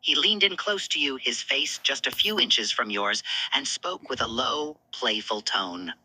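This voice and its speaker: A woman reads scripted romance narration. Her voice is very bright, with lively pitch movement and a slightly husky grain. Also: measured pace, rough recording.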